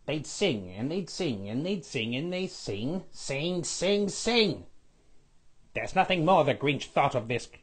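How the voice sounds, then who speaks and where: A man voicing a scheming skeletal villain lord who reads a storybook aloud with a raspy, sniffly voice, stretching out repeated words. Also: fairly high pitch, big pitch swings, heavily acted. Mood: gloating. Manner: sing-song storybook reading, drawn-out repeated words.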